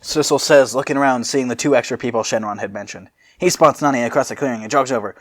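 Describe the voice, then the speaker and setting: A man's voice: medium pitch, quick pace. Casual man reading fan fiction aloud. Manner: casual, sweary, animated asides. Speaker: host and narrator.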